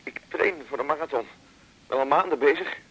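A man playing an out-of-breath, sheepish doctor calling a phone box in a radio drama, halting and self-mocking. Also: a little high, bright, forceful tone, slightly rough, heavily acted, poor audio. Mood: breathless, sheepish. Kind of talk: naturalistic 1980s radio drama acting.

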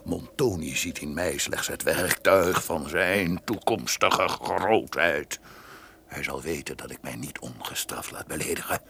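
An actor playing a scheming, vengeful count in a radio drama, speaking in a deliberate, insinuating way with a gravelly bass voice. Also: male voice, pushed and bright, full character voice. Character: scheming, resentful count bent on revenge. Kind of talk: Dutch radio drama acting. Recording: noisy old radio play recording.

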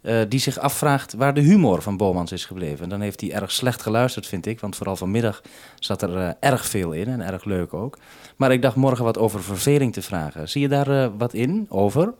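A Dutch man speaks in a fairly low voice as a radio host at a base station. He handles a two-way radio conversation in a businesslike way, following call procedure, on a 1970s recording. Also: expressive, a little fast, a little mellow. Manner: businesslike radio procedure, ends turns with "over".

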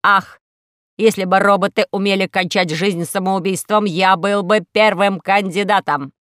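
A woman voicing a gloomy, melodramatic male former combat robot in a slow, mournful, drawn-out manner for a children's story. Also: mid-range voice, steady tone, fairly bright tone, no room sound, studio quality, lightly acted. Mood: self-pitying, ashamed.

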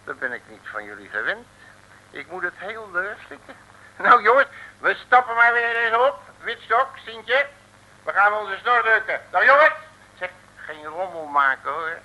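A man's voice: high voice, lively intonation, normal speed, pushed and bright, full character voice. An actor playing a folksy, jovial male detective in a 1950s radio serial, talking in jocular slang. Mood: breezy. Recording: noisy old radio recording.

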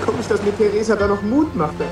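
A man acting a polite, troubled youth in a radio crime play. He speaks haltingly and earnestly, set back from the microphone in an echoing space with music playing underneath. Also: sits high, lightly acted. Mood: confessing, bleak. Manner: halting, hesitant. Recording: roomy, distant, music underneath.

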